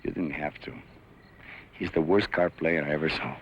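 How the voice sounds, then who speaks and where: Man playing a deep, laconic Western gunhand. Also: fairly even intonation, moderate pace. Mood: dry, firm. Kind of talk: Western drama acting. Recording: dull lo-fi recording, faint noise.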